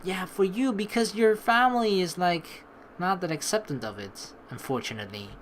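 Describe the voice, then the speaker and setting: A woman hosting a let's play, informal and animated, mixing game commentary with reading dialogue aloud. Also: on the low side, moderate pace, slight background noise.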